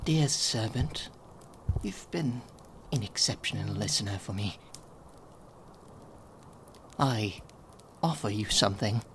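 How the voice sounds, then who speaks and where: A man voicing a regal, gentle owl demon prince in an ASMR audio roleplay, speaking softly and theatrically and slipping into whispers. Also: fairly low pitch, animated, slow pace, long pauses, a little hiss or hum. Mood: teasing, grand. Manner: soft-spoken, theatrical, drifting into whispers. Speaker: voice actor in fan audio roleplay.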